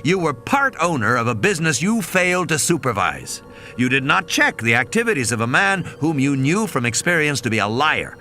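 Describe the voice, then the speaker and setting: A man's voice: fairly high pitch, sing-song, moderate pace, pushed and bright, soft background music, pitched above the performer's own voice. A man voicing a commanding, angry male scientist in an audiobook, blunt and scolding. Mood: furious, scolding. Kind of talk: audiobook character dialogue.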